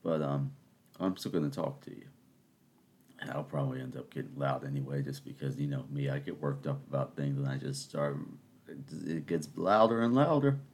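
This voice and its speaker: A man's voice: extremely low pitch, moderate pace, a little mellow, slight background noise. A man hosting his own podcast at home, talking in a rambling, sarcastic way and getting worked up. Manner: keeping voice down early on. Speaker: podcast host. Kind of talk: casual solo musing. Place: home, in the morning.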